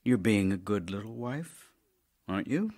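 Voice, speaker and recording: Casting: lonely, sickly middle-aged husband for radio play, low-pitched, very expressive, wry and tender. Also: male voice, moderate pace. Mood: wry, longing. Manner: wry, gently chiding, wistful. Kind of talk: audio drama acting, reading love letters.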